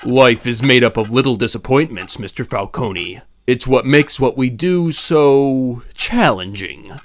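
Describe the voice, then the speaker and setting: A man playing a brooding, courteous wealthy socialite in a comic dub drama, measured and formal. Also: medium pitch, lively intonation, slight background noise. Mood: guarded, grave.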